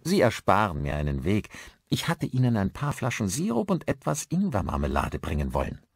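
A man's voice: fairly low pitch, wildly swooping pitch, brisk, vocal fry, breathy edge, dry recording, clean studio sound, pitched above the performer's own voice, full character voice. A man voicing a friendly, hospitable village woman in an audiobook, chatty and emphatic. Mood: welcoming.

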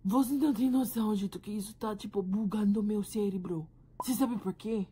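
A young American woman, a native English speaker, vlogging from a small room at home, explaining with animated exasperation to a phone camera at arm's length. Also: medium pitch, normal speed, husky. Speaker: youtuber. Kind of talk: vlog to camera.

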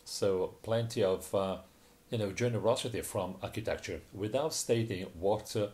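A man interpreting a conference talk live, with a deep, low voice. He pauses often, filling the gaps with small hesitations and restarting his sentences as he goes. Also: a little mellow. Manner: hesitant, many fillers and restarts. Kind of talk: simultaneous interpretation of a video-call talk.

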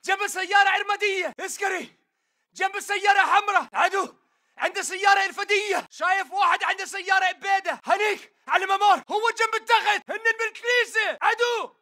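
An actor playing an aggressive male militant fighter in a video game, shouting urgent short combat callouts in Levantine Arabic. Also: very high-pitched, quick pace, exaggerated voice. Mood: urgent, alert. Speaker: enemy soldier voice.

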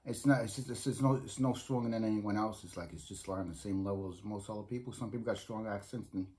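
A man's voice: lowish voice, quick pace, a little mellow. A middle-aged man with a New York accent, rambling casually to a close phone camera in a small room at home, often restarting his sentences. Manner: rambling, repeats himself, frequent restarts. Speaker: vlogger. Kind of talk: casual food review vlog. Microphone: phone or webcam close up.

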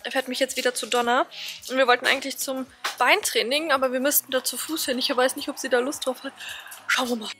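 A woman's voice: high voice, steady tone, quick pace, roomy sound, music in the background. A young woman in her twenties chatting casually to her camera at home, laughing often. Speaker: vlogger. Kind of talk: casual vlog chat. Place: apartment indoors. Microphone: handheld camera at arm's length.

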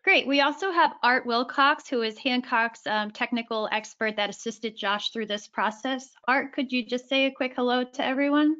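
Bright-voiced woman moderating a webinar. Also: a little high, moderate pace, hardly any pauses, dull audio. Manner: friendly, scripted introductions. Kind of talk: hosting a webinar.

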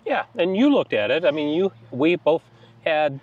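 A man's voice: fairly high pitch, sing-song, normal speed, a little mellow. A middle-aged man with an American accent talks in a casual, conversational way. He sounds like a travel vlog host recounting a trip outdoors, with a slightly dull recording. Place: outdoors in open air beside a car.

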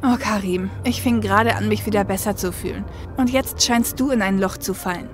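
An actress playing a caring, sceptical, down-to-earth young female mercenary in an audio drama, sober and gently chiding. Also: medium pitch, brisk, faint music behind, natural voice. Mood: concerned, sceptical. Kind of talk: German audio drama acting.

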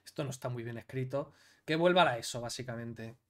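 A man from Catalonia in his thirties delivers heated, emphatic commentary from a small home room. His voice sits at a middle pitch and he speaks with clear indignation, pressing his points hard. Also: fast talker. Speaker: commentator reacting to clips. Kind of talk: opinionated commentary video. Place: small room with blue LED lights, indoors.

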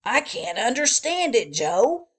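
A woman reading a storybook aloud, voicing a male zookeeper in charge, loud and emphatic. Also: fairly high pitch, sing-song, takes it slowly, breathy edge, pitched above the performer's own voice, exaggerated voice. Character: puzzled, bossy male zookeeper.

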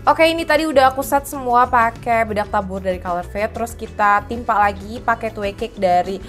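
A woman's voice: a little high, brisk, a bit bright, soft background music. A young woman with a stuffy, blocked nose from a heavy cold, chatting casually through a makeup tutorial at home, with offhand self-deprecating asides. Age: young adult. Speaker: beauty vlogger. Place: small indoor room at home, rain outside.

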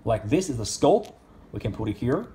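Lively, mellow-voiced toy reviewer man. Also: mid-range voice, normal speed. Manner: non-native English, simple phrasing. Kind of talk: casual product review.

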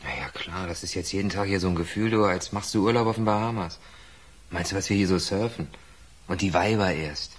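A man's voice: sits low, a little fast, slight background noise, close to an everyday voice. An actor playing a bitter, sardonic young male prisoner in a radio drama. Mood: sarcastic.

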